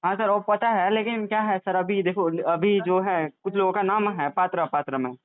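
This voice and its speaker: A man's voice: sits high, moderate pace, smooth. A young man in a phone conversation, negotiating in a hesitant, deferential and pleading manner. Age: young adult. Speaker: job applicant on a phone call. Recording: tinny, narrowband phone call recording.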